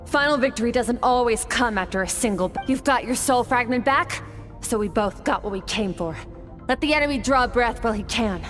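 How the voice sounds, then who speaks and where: An actress playing a cool, aloof female warrior mentor in a video game, speaking calmly and coldly. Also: on the high side, soft background music, lightly acted. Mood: measured. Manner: calm, cold, measured.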